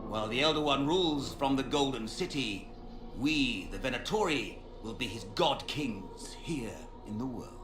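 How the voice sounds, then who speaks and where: An actor playing a smug, fanatical mage villain in a fantasy game, gloating and pompous in a theatrical way. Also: male voice, mid-range voice, animated, moderate pace, close to an everyday voice. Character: smug fanatical male mage villain serving a dark master. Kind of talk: dramatic fantasy game acting. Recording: echoey, with music behind.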